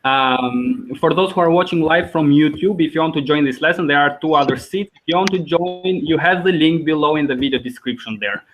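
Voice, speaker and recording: A man's voice: a little high, a little fast, few pauses, slight background noise. A young man from Albania with an Albanian accent, teaching an informal online language lesson as host. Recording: dull lo-fi video call audio.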